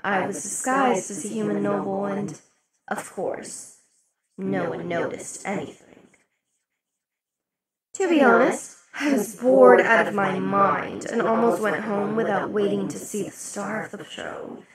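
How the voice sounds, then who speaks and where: A woman voicing a possessive, imperious demon queen in an ASMR roleplay, speaking slowly and sinking into soft whispers. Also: mid-range voice, close to an everyday voice, poor audio. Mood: silky, possessive. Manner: slow, velvety, dips into whispers. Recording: loud party crowd under the voice. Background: crowd chatter of a party.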